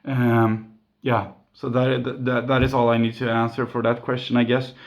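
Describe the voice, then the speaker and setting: A man's voice: medium pitch, flat delivery, moderate pace. A man in his twenties with a Swedish accent, chatting casually in a vlog recorded on a phone at home, with many fillers and restarts. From Sweden. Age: 20s. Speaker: YouTuber. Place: home room. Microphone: phone camera.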